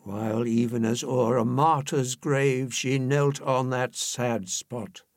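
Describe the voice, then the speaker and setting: A man reading poetry aloud in a theatrical, solemn and measured recitation style. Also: mid-range voice, fairly even intonation, no room sound, studio quality. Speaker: poetry reader.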